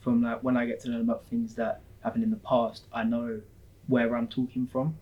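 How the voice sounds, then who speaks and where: Male student, casual and relaxed, muffled recording. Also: mid-range voice, moderate pace. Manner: relaxed, informal, with "to be honest" and "like". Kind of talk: panel discussion. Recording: slightly dull sound.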